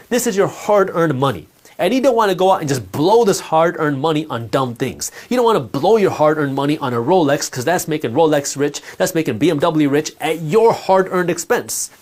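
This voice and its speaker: A man giving a direct-to-camera personal finance lesson, emphatic and repetitive, talking straight to the listener. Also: on the high side.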